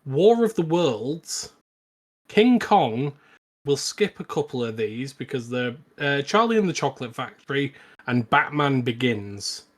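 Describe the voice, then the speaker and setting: A man's voice: medium pitch, big pitch swings, measured pace. A man co-hosting a podcast, talking casually about films. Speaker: podcast co-host. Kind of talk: casual film discussion.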